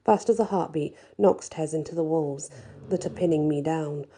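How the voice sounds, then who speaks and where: A woman narrating a first-person fantasy story in a soft, husky, breathy ASMR style, with expressive delivery. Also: low voice, moderate pace. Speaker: storyteller reading a fantasy audiobook.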